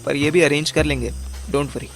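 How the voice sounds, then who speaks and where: A man acts a young detective running his own business in an audio drama. He is bossy and irritable, talks in impatient slang sprinkled with English, and sits slightly high in pitch over light music. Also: steady tone, moderate pace, creaky. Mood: explaining, assured. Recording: music under the voice.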